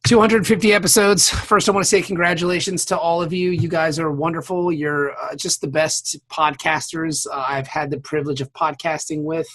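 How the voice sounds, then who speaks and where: A man's voice: fairly high pitch, fairly even intonation, a little fast, few pauses, a bit bright. A man hosting a casual podcast, bantering in an effusive, teasing way with plenty of swearing. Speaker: podcast host.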